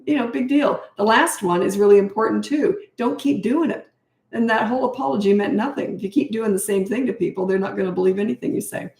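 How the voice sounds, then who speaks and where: A middle-aged woman talking straight to her livestream audience, handing out blunt, practical parenting advice with chatty asides. Her voice is slightly hoarse from talking so much, and her mid-range tone bounces around an echoey room. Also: medium pitch, fast, a little mellow. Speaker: parenting coach. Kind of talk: casual livestream Q&A.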